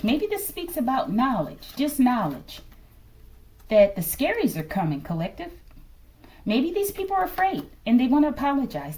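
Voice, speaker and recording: A woman giving a casual tarot reading to her viewers, musing aloud and often ending phrases low as she addresses them. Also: a little mellow, low-quality recording. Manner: musing, repeatedly addresses listeners as collective, trails off low at line ends. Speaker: tarot reader.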